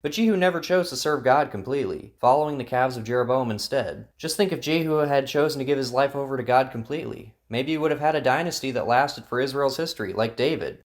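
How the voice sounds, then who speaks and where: A man's voice: mid-range voice, fast talker, hardly any pauses. A man narrating a Bible story drama in an earnest, preachy storytelling style. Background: guitar music.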